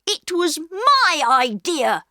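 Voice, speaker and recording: A woman voicing an excitable, stubborn young boy who speaks in a high, earnest voice. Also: slow, dry recording, studio quality, heavily acted. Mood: pleading.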